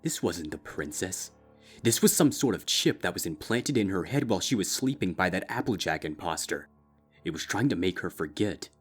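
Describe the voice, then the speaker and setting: A man reading a dark fanfiction story aloud in a slow, grave, steady narrating style. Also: low voice, breathy edge. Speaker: fanfiction reader.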